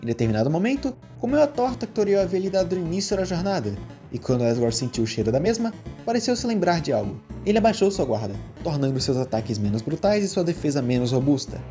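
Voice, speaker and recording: A Brazilian man narrates a scripted story with a lively, varied pitch and a quick pace. His voice is mellow and expressive, over a soft music bed.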